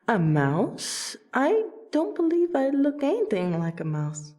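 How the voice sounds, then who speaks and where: A woman playing a courteous royal vampire and loyal friend in a fantasy audio roleplay, with a polite, theatrical, deliberate delivery. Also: mid-range voice, animated, dark tone. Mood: confident, sly.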